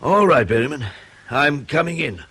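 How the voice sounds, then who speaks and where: Curt, gruff boss. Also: male voice, on the high side, animated, normal speed, bright, forceful tone, exaggerated voice. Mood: groggy irritation. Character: gruff, irritable senior intelligence boss. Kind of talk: British radio drama acting.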